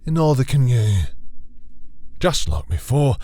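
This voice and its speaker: A man's voice: medium pitch, big pitch swings, warm tone, no echo. A man playing a dominant, possessive vampire in an intimate audio roleplay, taunting and seductive in a theatrical way. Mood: seductive gloating. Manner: slow, taunting, seductive, theatrical, sighing between phrases. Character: dominant, possessive male vampire, smugly menacing.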